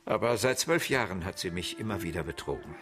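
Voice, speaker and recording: An actor playing a proud, bitter, erudite betrayed husband in a German radio crime drama, articulate and disdainful, with a husky voice. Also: male voice, low voice, expressive, brisk. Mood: bitter, resigned. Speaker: actor playing a murder suspect.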